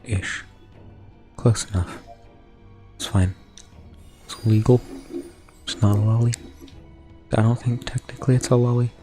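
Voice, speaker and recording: A young man streaming a video game, talking to his chat in a soft whisper with mouth noises, his throat sore from whispering. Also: medium pitch, fairly even intonation, measured pace, pauses often, faint music behind. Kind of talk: casual gaming commentary, trying ASMR-style whispering.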